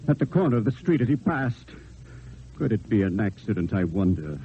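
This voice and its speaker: Worried loyal friend, tinny radio. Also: male voice, on the low side, very animated, fairly quick. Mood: anguished, worried. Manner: earnest, theatrical. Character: Lebray, worried loyal male friend of a poet. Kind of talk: old-time radio stage acting. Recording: tinny, noisy old radio recording.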